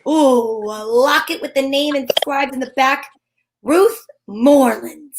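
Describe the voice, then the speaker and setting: Woman playing high-voiced plucky lady detective Candy. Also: takes it slowly, noisy background. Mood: dramatic, suspenseful. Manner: dramatic storytelling. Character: plucky wisecracking female private eye Candy. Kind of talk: old-time radio detective acting, first-person narration.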